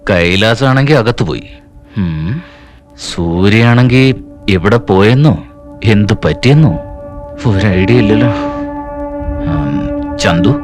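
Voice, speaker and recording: An actor dubbing a sly, menacing gangster boss who talks mockingly and drops into English, with film music behind. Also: male voice, fairly low pitch, expressive, takes it slowly, pauses often. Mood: scheming. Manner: mocking, toying, mixes in English. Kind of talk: dubbed film acting. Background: film score music.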